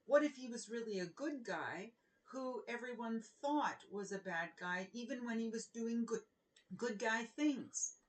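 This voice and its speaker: A middle-aged woman speaks as a children's author sharing a story. Her delivery is slow and deliberate, with expressive emphasis on key words, suited to reading aloud to young listeners. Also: on the high side. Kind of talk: children's read-aloud and informal author talk.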